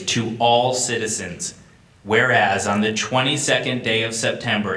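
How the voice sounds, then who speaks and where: A man's voice: medium pitch, pushed and bright. A man reading scripted narration formally at a live event. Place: large room at a live event. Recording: echoey hall.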